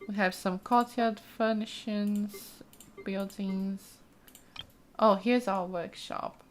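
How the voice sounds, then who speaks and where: A woman's voice: medium pitch, steady tone, slow pace, slight background noise. A woman giving casual, chatty let's play commentary, thinking aloud with frequent exclamations as she explores a game. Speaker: gaming streamer, let's play host.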